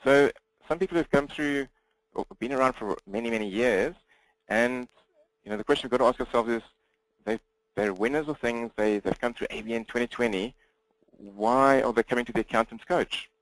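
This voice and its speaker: A man with a South African accent giving a webinar presentation as a business coach, talking fast with a slight mumble and frequent restarts. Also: medium pitch, no echo, low-quality recording.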